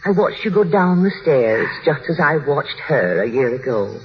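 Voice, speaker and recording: An actress playing a menacing, obsessive housekeeper in 1940s radio drama, with a coldly insinuating, formal and hypnotic manner. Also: female voice, deep voice, big pitch swings, normal speed. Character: menacing, obsessive housekeeper urging a young wife to despair. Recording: muffled noisy vintage radio broadcast.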